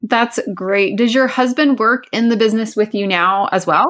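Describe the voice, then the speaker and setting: A woman's voice: on the high side, moderate pace, fairly bright tone. A woman hosting a podcast, asking a guest questions in a friendly, encouraging way. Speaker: podcast host, interviewer. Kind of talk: casual interview questions.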